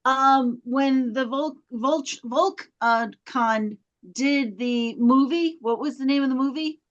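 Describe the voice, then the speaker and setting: A high-voiced woman chats through a personal story as a guest. She is casual and talkative, slipping into quoted back-and-forth as she retells it. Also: slow pace, muffled recording. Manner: chatty, reenacts dialogue when retelling. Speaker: guest telling an anecdote. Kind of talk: casual animated anecdote telling.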